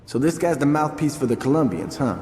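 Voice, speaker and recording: An actor playing a cocky, shrewd young gang lieutenant in a video game, with casual street-slang talk. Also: male voice, fairly high pitch, lively intonation, fairly quick, a little mellow, in a large hall, slight background noise, natural voice. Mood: cool, sizing up. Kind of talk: video game cutscene acting.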